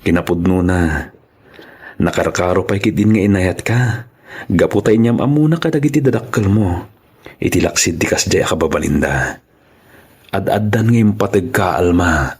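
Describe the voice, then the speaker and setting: A man with an Ilocano accent acting a humble, devoted young man in love in a radio drama, with a husky voice. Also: deep voice, normal speed, pauses often, darkish tone. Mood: earnest.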